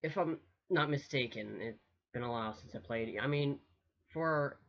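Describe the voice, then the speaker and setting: Creaky-voiced man casually commenting on games. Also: medium pitch, moderate pace, a bit bright, highs cut off. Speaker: gaming video host.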